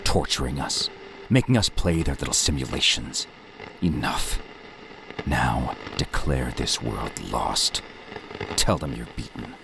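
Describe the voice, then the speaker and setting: Guy voicing a scornful traitor villain, super deep and gravelly, slow taunting delivery. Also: lively intonation, noisy background, full character voice. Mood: menacing. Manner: menacing, taunting, slow and deliberate. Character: cold, scornful male traitor warrior villain. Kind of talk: fan audio drama acting.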